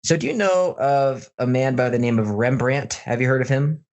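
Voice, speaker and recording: Man, American accent, mid-range voice, podcast host, casual news banter, informal animated storytelling. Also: mid-range voice, normal speed.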